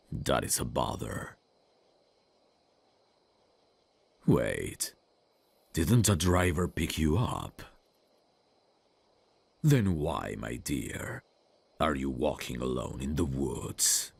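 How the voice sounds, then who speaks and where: Very deep, gravelly vampire count. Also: male voice, exaggerated voice. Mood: courtly, curious. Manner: slow, courtly, old-fashioned, intimate phrasing. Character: suave male vampire count, courtly, seductive, quietly menacing. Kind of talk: ASMR roleplay acting. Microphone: close dry studio mic.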